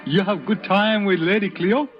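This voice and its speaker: An actor playing a cheerful, sly hotel servant in clipped broken English in a 1950s radio Western. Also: male voice, high-pitched, steady tone, moderate pace, faint music behind, natural voice. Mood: teasing. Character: cheerful, teasing Chinese hotel servant in old West. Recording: muffled old radio recording.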